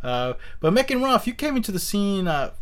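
Interview host, casual chat, animated and rambling, many restarts, desk mic. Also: medium pitch, a little fast.

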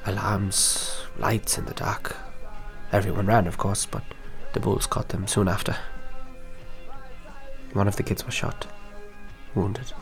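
A guarded young East German dissident acted by a man in a radio drama, low in pitch with heavy creak. He is earnest and bitter, speaking with halting intensity over music. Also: fairly even intonation, poor audio. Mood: grim, grieving.

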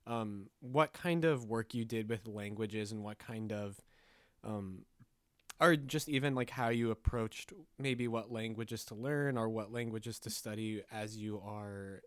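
A man's voice: fairly low pitch, a little slow, clear, resonant tone, dry recording, studio quality. A man hosting an interview, asking long, rambling questions with hesitations. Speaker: interviewer host.

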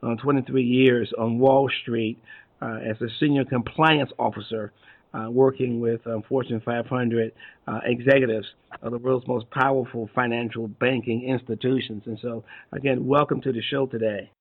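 A male host runs a casual interview, encouraging his guest with frequent short affirmations. His voice is mid-pitched for a man. The sound is thin and tinny, as over a phone line. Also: steady tone, normal speed. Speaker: radio show host.

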